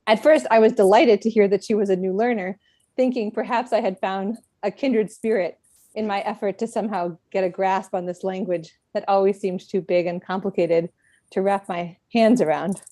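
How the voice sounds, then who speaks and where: Reflective woman with a mellow voice. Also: medium pitch, steady tone, no room sound. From United States. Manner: reflective, measured, with gentle self-deprecation. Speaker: speaker at a book event. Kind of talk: reading a prepared personal talk.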